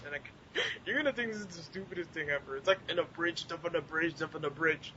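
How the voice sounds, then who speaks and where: A man's voice: a little high, fast talker, muffled recording, background noise. A man co-hosting a podcast with casual chat. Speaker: podcast co-host. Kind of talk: casual banter.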